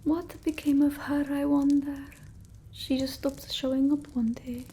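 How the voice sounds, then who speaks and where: Girl doing an ancient dramatic vampire lady for asmr, soft n whispery, real slow, bit of background noise. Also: sits high, flat delivery. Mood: wistful. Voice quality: soft, breathy, often whispered. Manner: halting, theatrical, with quaint phrasing. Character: eccentric centuries-old female vampire, dramatic, doting, superstitious. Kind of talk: ASMR roleplay. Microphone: close ASMR microphone.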